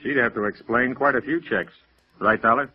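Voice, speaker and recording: An actor playing a steady, plain-spoken American sheriff's deputy in a 1950s radio drama, talking in an unhurried way. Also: male voice, medium pitch, close to an everyday voice. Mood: firm. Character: laconic, steady male sheriff's deputy in a beach town. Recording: dull, noisy vintage radio recording.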